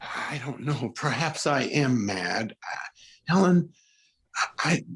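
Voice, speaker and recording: A male actor playing a tormented, unraveling concert pianist in a 1940s-style radio drama, stammering and halting in an overwrought way. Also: mid-range voice, lively intonation, a bit bright. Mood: anguished, hesitant.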